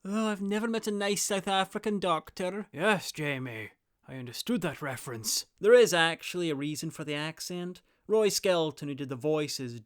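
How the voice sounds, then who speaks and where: A man's voice: fairly high pitch, a bit bright, clean tone, no echo, clean studio sound. A man delivering scripted review narration as a host, with wry, opinionated asides.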